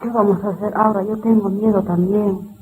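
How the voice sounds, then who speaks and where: A woman's voice: medium pitch, steady tone, fairly quick, music in the background, lightly acted. A woman playing a frightened, grieving woman in a radio drama, anxious and hesitant, on a tinny recording. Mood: anxious, fearful. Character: frightened grieving woman dreading to break news of a death. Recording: tinny phone-like band, light noise.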